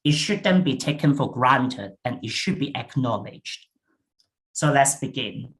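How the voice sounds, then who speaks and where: A British man delivers a prepared research talk at a slow, unhurried pace. His pitch rises and falls a lot as he stresses key points. The measured, deliberate delivery comes through a video-call mic. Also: lively intonation, a bit bright. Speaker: invited research speaker.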